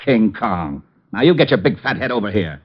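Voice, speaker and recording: An actor playing an impatient, sarcastic police lieutenant in a gruff manner, in a 1940s radio crime drama. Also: male voice, lowish voice, big pitch swings, moderate pace. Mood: irritated. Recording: muffled old radio recording.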